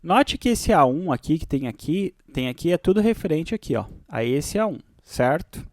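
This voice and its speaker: A man with a Brazilian accent presenting a software tutorial, switching between read-out explanations and casual asides. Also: on the high side, very animated, moderate pace, no echo.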